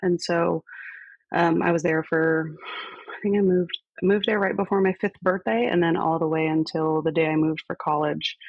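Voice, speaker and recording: A woman in her thirties, a podcast guest, talking in a relaxed, reflective way with many fillers. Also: sits low, gravelly, no room sound, muffled recording. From grew up on a base in Spain. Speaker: guest, fire department fitness coordinator. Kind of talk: conversational podcast interview.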